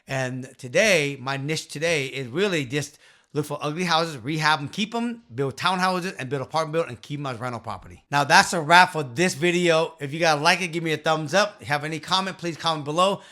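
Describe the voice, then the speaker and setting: A man in his fifties telling his story to the camera in a small home office, earnest and emphatic, into a boom-arm studio mic. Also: brisk, sharp tone. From Vietnam. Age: 50s. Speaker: real estate investor and channel host.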